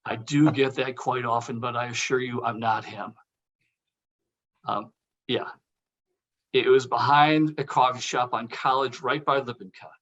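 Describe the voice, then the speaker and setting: A man acting an awkward, nervous, evasive character in a script table read, halting and stammering as he goes. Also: medium pitch, fairly even intonation, fairly bright tone, muffled recording, slight background noise, lightly acted. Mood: nervous, apologetic.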